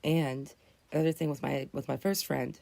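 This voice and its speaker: A woman in her twenties, her voice raspy from just waking up, talks in a low, dark, gravelly tone. She rambles earnestly through her thoughts, correcting herself mid-sentence as she hosts a casual live chat. The sound is dry and close. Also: steady tone, normal speed, dry recording. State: just woken up. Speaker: livestream host.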